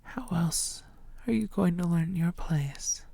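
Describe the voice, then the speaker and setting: A woman playing a possessive, menacing female vampire in an intimate ASMR roleplay, teasing and often slipping into breathy half-whispers. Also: lowish voice, slow, warm tone, no room sound, full character voice. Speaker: audio roleplay voice actor.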